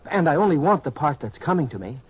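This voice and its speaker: A young farmer's son, ambitious and stubbornly earnest. The voice is slightly high and rough-edged, pressing each point in old radio drama style on a dull recording. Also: male voice, very animated, fast, exaggerated voice. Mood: determined. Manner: earnest, insistent.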